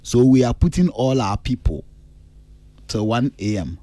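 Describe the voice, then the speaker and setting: Animated Ghanaian man on radio panel. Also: medium pitch, a little slow. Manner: emphatic, argumentative, switches languages. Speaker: radio entertainment show panelist. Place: radio studio.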